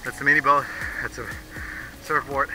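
A man's voice: mid-range voice, level tone, normal speed, poor audio. A Dutch man in his thirties with a Dutch accent, chatting enthusiastically to a handheld action camera outdoors by the sea. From Netherlands. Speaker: vlogger. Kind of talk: casual travel vlog. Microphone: action camera held at arm's length. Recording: music playing underneath.

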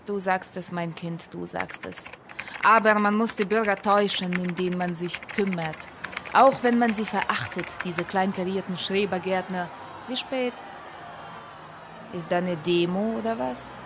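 A woman acts as a cynical female bureaucrat in a radio play. Her mid-pitched voice is weary and contemptuous, over clear office noise. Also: measured pace, lightly acted. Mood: scornful, weary. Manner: weary, scornful. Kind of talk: German radio play acting. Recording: noisy office with keyboard typing.

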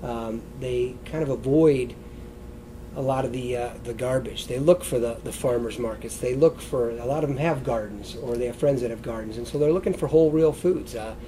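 A man's voice: mid-range voice, moderate pace, warm tone, slight background noise, poor audio. A middle-aged American man with a congested, sniffly voice gives an informal wellness lecture in a loose, conversational way. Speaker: chiropractor giving a wellness talk. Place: indoor stage beside a wooden lectern, seated.

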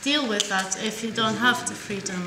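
A woman's voice: medium pitch, fast talker, noisy background. A woman telling a story to guests at a dinner table in a tiled courtyard, speaking steadily and reflectively with some hesitations. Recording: echoey courtyard with clinking dishes.

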